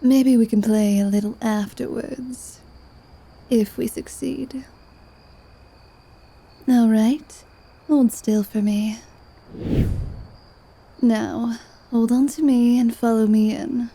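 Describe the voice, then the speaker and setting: Slow, sultry vampire woman, acted by a woman. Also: a little high, fairly even intonation, mellow, slightly rough, exaggerated voice. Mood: flirtatious, hushed. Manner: slow, teasing, sultry delivery with drawn-out words. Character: flirtatious, mischievous female vampire paladin, teasing and freethinking. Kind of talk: ASMR roleplay voice acting.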